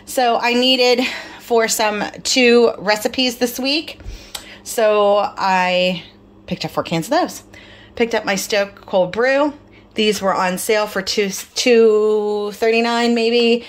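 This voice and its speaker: A woman's voice: on the high side, slow. A middle-aged woman vlogger, tired, chatting casually through a grocery haul with lots of fillers. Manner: rambling, filler-heavy, apologetic.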